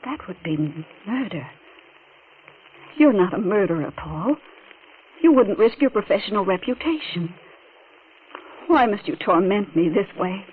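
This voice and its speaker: An actress playing an anxious, devoted wife in an old radio melodrama, earnest and pleading in a theatrical way. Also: female voice, medium pitch, expressive, measured pace, long pauses, close to an everyday voice. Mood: pleading, distressed. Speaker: radio drama actor. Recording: tinny, noisy vintage radio recording.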